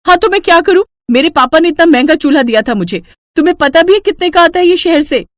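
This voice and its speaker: A woman voicing a haughty, scornful young rich daughter-in-law in an animated storybook tale, scolding and condescending. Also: very high voice, quick pace, no room sound, clean studio sound, voice pushed up. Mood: scornful, angry.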